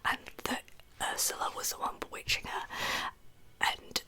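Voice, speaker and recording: Whispering woman, ASMR storyteller. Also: moderate pace. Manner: soft-spoken, conversational asides. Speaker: true crime storyteller, channel host. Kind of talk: whispered ASMR true crime narration.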